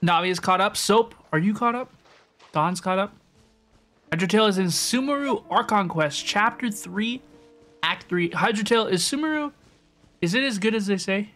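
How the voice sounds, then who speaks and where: A woman's voice: mid-range voice, lively intonation, moderate pace, fairly bright tone. A woman livestreaming a video game, chatting casually to viewers and often repeating her phrases. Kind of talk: casual livestream chat over gameplay. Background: game music.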